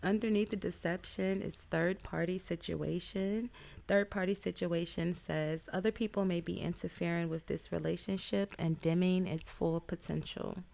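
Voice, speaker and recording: A woman giving a casual tarot reading, stuffed up with a cold, talking in a chatty, halting way with many ums. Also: fairly low pitch, fairly even intonation, gravelly, a little mellow. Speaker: tarot reader.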